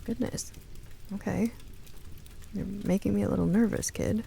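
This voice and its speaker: A woman's voice: medium pitch, fairly even intonation, normal speed, creaky, mellow, slight background noise. A woman playing an anxious, protective, motherly older woman in a radio drama, with theatrical emotion. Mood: uneasy.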